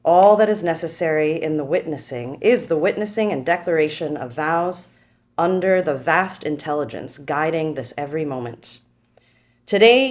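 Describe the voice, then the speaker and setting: A formal wedding officiant, read by a woman at a table read, who delivers the ceremony in a measured, solemn way. Her voice sits a little low for a woman. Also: natural voice.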